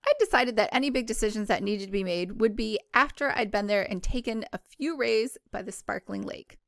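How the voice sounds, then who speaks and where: A woman with a fairly high voice reads a story aloud as a book club host. Her delivery is informal and chatty, with small stumbles that she corrects as she goes. The sound is very dry, with no room echo. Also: a little fast, gravelly, fairly bright tone. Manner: informal, stumbles and self-corrects while reading. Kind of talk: casual read-aloud of a first-person novel with chatty asides.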